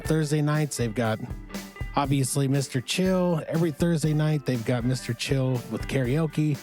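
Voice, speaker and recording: A man's voice: medium pitch, normal speed, darkish tone. A man hosting a podcast in a studio, with a smoky voice, upbeat and urging listeners to get out and support music. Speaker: podcast host. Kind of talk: casual podcast chat.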